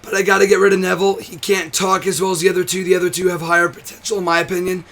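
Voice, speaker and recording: A hoarse, tired young man hosting a wrestling show, chatting casually to camera with a nearly gone voice, rambling, swearing and restarting often. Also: sits high, flat delivery, very fast, a bit bright. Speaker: wrestling commentary host. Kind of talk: casual to-camera vlog chat.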